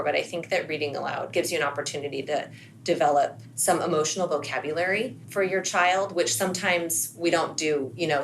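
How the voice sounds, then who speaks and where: A woman's voice: sits low, poor audio. A woman podcast guest, a school administrator, explaining and giving advice conversationally. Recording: faint background room noise.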